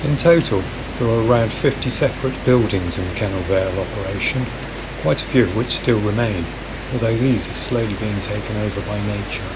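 A man reading a scripted documentary narration in a calm, measured voice-over. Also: on the low side, heavy background noise. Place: voice-over, recorded apart from the woodland footage.

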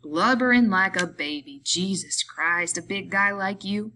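A woman voicing a gruff, exasperated working man who gives brusque orders in rough rural slang, in an audiobook story. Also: medium pitch, lively intonation, a little slow, pushed and bright, slight background noise. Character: gruff, bossy, exasperated working man minding his friend.

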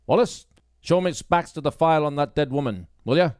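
A man's voice: a little high, fairly quick, clear, resonant tone, no echo, clean studio sound, voice pushed up, natural voice. A man voicing a brusque, condescending male police sergeant in an audiobook. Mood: brisk, commanding. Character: gruff, dismissive male police sergeant, short, Glaswegian, brusque.

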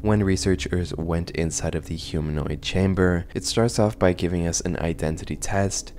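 A man's voice: low voice, steady tone, normal speed, a little mellow, slight background noise. A young adult man giving scripted narration and commentary as a video host, close to a desk microphone in a small room at home. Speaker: host of horror video essay channel. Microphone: large desk microphone, close. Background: music.

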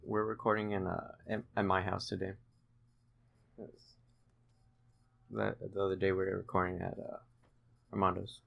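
A young man giving low-key, explanatory commentary while playing a video game at home. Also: flat delivery, normal speed, gravelly, long pauses, phone-quality sound. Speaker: gaming channel host playing the game. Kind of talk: casual let's play commentary. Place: room at home.

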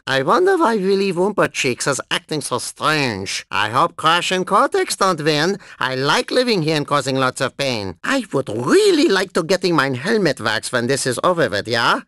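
A man voicing a deranged, gleeful old German cyborg in a video game, with a thick German accent and a hammy, excitable, menacing manner. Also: on the high side, no room sound, studio quality, exaggerated voice. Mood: musing, whimsical. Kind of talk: video game character voice clips.

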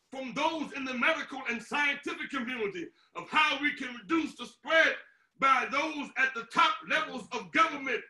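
A man in his sixties preaching a sermon as a pastor, emphatic and declamatory, with deliberate pauses, in a small room at home. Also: extremely high pitch, sharp tone. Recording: roomy echo, distant microphone.

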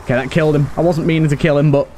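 A man's voice: fairly high pitch, fairly even intonation, rapid-fire, mellow. A man giving casual live video game commentary, thinking aloud and chatting to the characters as he plays. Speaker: host of a video game let's play. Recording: background game music. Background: game music.

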